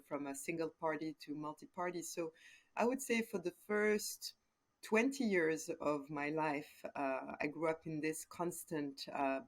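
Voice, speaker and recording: A woman in her forties from West Africa talks through her answers in an unhurried, reflective way. Her voice sits low for a woman, and she often pauses on soft fillers as she gathers her thoughts. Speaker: interview guest, entrepreneur. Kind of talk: casual conversational interview.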